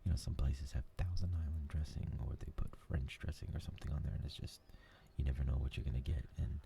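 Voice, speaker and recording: Brief: ASMR gaming host, dark low voice, gravelly, soft rambling whisper. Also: male voice, fast talker, slight background noise. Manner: rambling, soft, many fillers.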